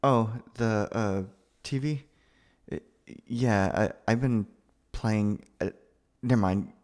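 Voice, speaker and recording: A young man acting as a nervous, gentle, geeky roommate in an ASMR roleplay, stammering and rambling in a flustered, self-deprecating way. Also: lowish voice, takes it slowly. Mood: flustered, apologetic.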